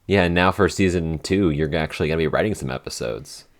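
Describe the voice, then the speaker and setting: A man's voice: a bass voice, fast. A man chatting casually as a podcast writer in a behind-the-scenes Q&A, often searching for his words.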